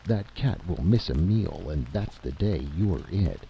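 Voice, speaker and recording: A man's voice: low-pitched, animated, unhurried, muffled recording, slight background noise. A man voicing a weary, earnest and sceptical adventurer in a story reading. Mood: wary, doubting. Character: weary, sober, doubting male adventurer.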